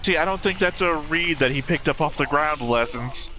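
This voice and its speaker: A man's voice: fairly high pitch, moderate pace, pushed and bright, soft background music. A man giving casual, wry and opinionated reaction commentary on a show. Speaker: anime reaction host.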